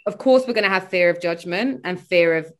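Chatty woman, low-pitched. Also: fairly quick. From born in Sweden, grew up in London. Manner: chatty, rambling, frequent fillers and laughs. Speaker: guest, spiritual mindset coach. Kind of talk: casual conversational interview. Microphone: video call.

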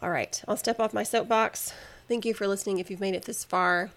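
A woman's voice: medium pitch, steady tone, fairly quick, a bit bright. A young adult woman from the United States hosting a solo podcast, talking with passion and emphatic, ranting lists. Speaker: podcast host.

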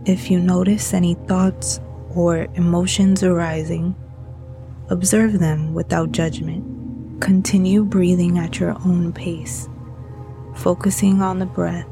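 A woman guiding a meditation as a narrator, speaking in a soothing, gentle and breathy manner. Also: on the low side, flat delivery, slow pace, music in the background. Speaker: meditation guide.

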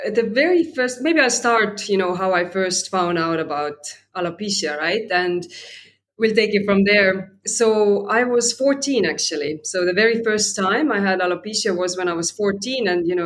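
Guest, woman from Estonia, English, chatty and reflective. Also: medium pitch. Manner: conversational, many fillers and restarts, rising "right?" tags. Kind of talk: personal storytelling interview.

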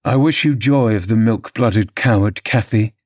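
A man's voice: fairly low pitch, normal speed, a little mellow, dry recording, studio quality, lightly acted. A man voicing a contemptuous, vengeful, violent man from a classic novel in a sneering, menacing manner. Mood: sneering contempt.

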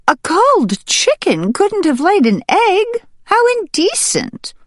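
A shrill, scornful farmyard hen scolds in a piercing, very high voice. She sounds loud and sharp with contempt, and the recording is dry and close. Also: wildly swooping pitch, slow, dry recording, exaggerated voice.

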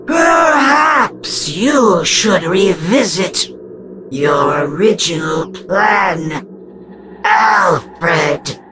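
A woman's voice: high-pitched, shouting, background noise. A woman voicing the cold, menacing ghost of a murdered young woman in a spoken horror story. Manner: cold, menacing, slow and drawn out.

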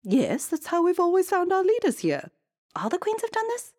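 A woman voicing a bossy, excitable queen in a storybook, squealing high and loud. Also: moderate pace, no echo, clean studio sound. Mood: insistent.

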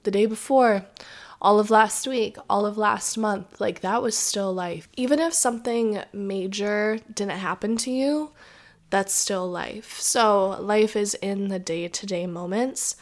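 A woman talks casually into her podcast mic, rambling from one thought to the next. Her voice is raspy from a sore throat, and she leans on "like" and "um" as she goes. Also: mid-range voice, a little slow. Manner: chatty, rambling, many "like"s and "um"s. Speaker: podcast host. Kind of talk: casual solo podcast chat. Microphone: podcast microphone.

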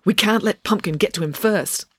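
A woman's voice: mid-range voice, normal speed, husky, no echo, studio quality, pitched above the performer's own voice. A woman reading a story, voicing a cheerful, slightly prim and self-assured teenage girl. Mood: urgent. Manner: bright, cheerful, a little prim.

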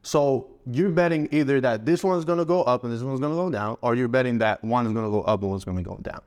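A man in his thirties from Morocco, a guest trader answering in a relaxed, rambling way. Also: medium pitch, quick pace. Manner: relaxed, rambling, frequent fillers and restarts. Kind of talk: casual interview answers.

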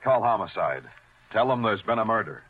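A man's voice: fairly low pitch, very animated, moderate pace, music in the background. A man playing a world-weary, sardonic police detective who narrates a 1950s radio noir drama. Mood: terse, sardonic. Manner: dry, sardonic, poetic. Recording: muffled old 1950 radio recording.

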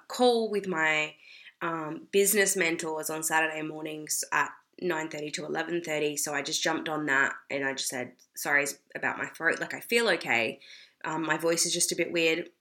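A young Australian woman talks to camera in a loose, rambling way, peppering her speech with "like" and "you know". Her voice sits a little low for a woman yet sounds bright and forceful, with a slight hoarseness from a cold. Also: steady tone, normal speed. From South Australia. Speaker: vlogger. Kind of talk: casual talk to camera.

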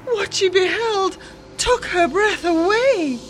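A woman's voice: extremely high pitch, animated, slow, noisy background. A woman narrating a radio drama as a storyteller, with theatrical, heightened delivery. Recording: music behind the voice.

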